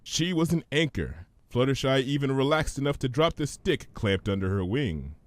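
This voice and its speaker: A man narrating a scripted story in an expressive, theatrical manner. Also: medium pitch, moderate pace, no room sound.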